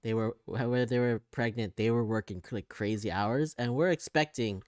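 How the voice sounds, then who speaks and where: A man's voice: medium pitch, moderate pace, a little mellow, no echo, clean studio sound. A man co-hosting a casual podcast, rambling and correcting himself as he talks.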